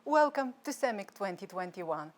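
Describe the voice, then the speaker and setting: A woman's voice: mid-range voice, animated, normal speed, a little hiss or hum. A woman from Lithuania with a Lithuanian accent, a non-native English speaker hosting a live-streamed conference from a studio in a chatty way, with some restarts. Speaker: conference host.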